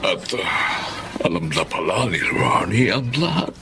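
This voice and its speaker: A caring older father in a radio drama. His lowish, creaky voice is expressive and thoughtful, with music underneath. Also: a little slow, heavily acted. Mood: concerned, relieved. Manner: expressive, hesitant interjections. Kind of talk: Filipino radio drama acting. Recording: music behind the voice.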